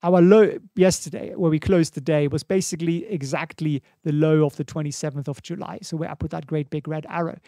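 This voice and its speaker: A man's voice: a little high, fairly even intonation, brisk, few pauses, mellow, no room sound. A man in his forties with a German accent, casually commenting on stock charts in a home studio on a studio mic, thinking aloud and reading viewer questions. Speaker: stock market livestream host. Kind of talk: casual live market commentary. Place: small home studio, indoors. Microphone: studio mic on boom in front of face.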